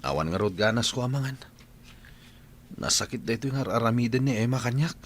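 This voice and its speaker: A man's voice: mid-range voice, lively intonation, fairly quick, slight background noise, natural voice. An actor playing a stubborn, mournful, lovesick young man in a radio drama, with an Ilocano accent. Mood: dejected.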